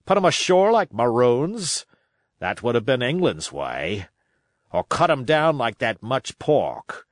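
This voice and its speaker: A man's voice: medium pitch, big pitch swings, slow pace, no room sound, clean studio sound. A man reading a storybook, voicing a shrewd, domineering pirate sea cook with salty, emphatic sailor talk. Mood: sly, grave.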